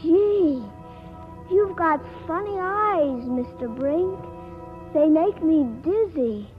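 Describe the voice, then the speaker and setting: A woman's voice: very high-pitched, animated, slow, soft background music, heavily acted. A woman playing a feisty, trusting little boy with a childish, plain-spoken manner in a 1940s radio drama. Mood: wondering. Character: feisty, trusting little boy who adores his grandpa. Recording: dull vintage 1940s radio broadcast.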